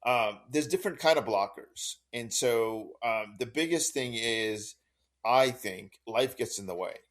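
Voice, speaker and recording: A man's voice: medium pitch, expressive, unhurried, fairly bright tone. A man co-hosting a podcast, talking in an easygoing, casual way. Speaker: podcast co-host. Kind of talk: casual chat.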